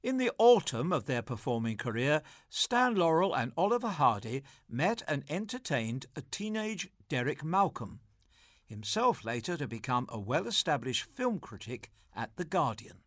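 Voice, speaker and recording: Male host, slightly high voice, lively intonation, dry sound, scripted links. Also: moderate pace, dry recording. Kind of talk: scripted magazine-show linking.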